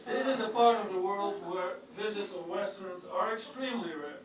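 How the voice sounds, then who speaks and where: A man's voice: sits high, moderate pace, a crowd in the background. An older man with a Dutch accent gives an informal public lecture on his research, with wry, self-deprecating jokes and hesitant fillers. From Netherlands. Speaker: university geochemistry professor giving a public talk. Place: lecture hall with an audience. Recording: echoey hall, mic far off, audible room noise.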